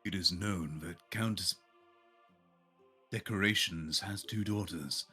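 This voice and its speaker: A man's voice: low-pitched, slow, bright, forceful tone, breathy edge, faint music behind, exaggerated voice. A man voicing a pompous, stately male emperor with a formal delivery while reading a visual novel aloud.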